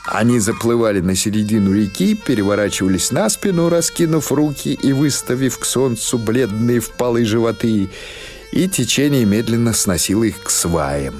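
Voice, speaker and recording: A man reading literary fiction as an audiobook narrator, in a measured, expressive storytelling manner. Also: mid-range voice, soft background music.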